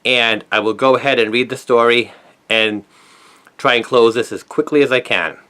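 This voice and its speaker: A man's voice: medium pitch, moderate pace, sharp tone. A man narrates a news story, reading from a written script in a scripted style. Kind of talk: scripted narration, read aloud.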